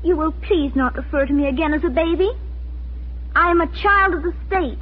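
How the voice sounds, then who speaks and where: Very high-voiced earnest young girl. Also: normal speed. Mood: coldly indoctrinated. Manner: childlike, earnest, emotional. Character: earnest, impressionable eleven-year-old farm girl. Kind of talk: 1940s radio acting. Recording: scratchy, tinny 1940s radio recording.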